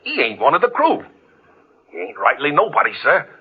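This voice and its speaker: Superstitious deckhand: very raspy, animated, humble but insistent, vintage radio horror drama. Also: male voice, fairly high pitch, normal speed, heavily acted. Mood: earnest, insistent. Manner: humble, insistent, folksy nautical speech. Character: superstitious, deferential working-class male sailor, earnest and spooked. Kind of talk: old-time radio drama acting. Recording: muffled lo-fi vintage radio recording.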